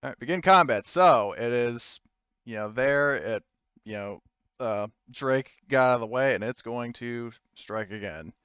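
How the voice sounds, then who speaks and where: Guy game mastering a tabletop rpg, chatty and informal, clean smooth voice, bit lo-fi. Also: medium pitch, unhurried, fairly bright tone, no echo. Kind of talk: casual narration of a tabletop game.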